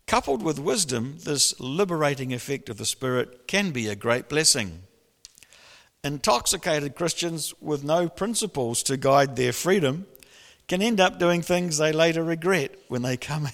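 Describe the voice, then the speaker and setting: A middle-aged man preaching a sermon to his church with a gravelly voice, telling stories animatedly and breaking into laughter. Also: on the high side. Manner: animated storytelling with bursts of laughter. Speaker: pastor. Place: church hall. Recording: long church reverb.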